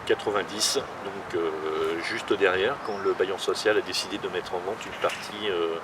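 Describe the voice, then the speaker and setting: A man in his sixties, a longtime resident, speaking haltingly with many fillers. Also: low voice, fairly even intonation, recorded from a distance, noisy background. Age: 60s. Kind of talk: recorded testimony.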